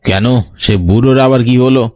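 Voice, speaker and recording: Gruff, scolding older brother acted by a man. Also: medium pitch, fairly quick, no room sound, studio quality, close to an everyday voice. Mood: irritated. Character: greedy, bullying, short-tempered older brother, villain. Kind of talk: Bengali horror audio story acting.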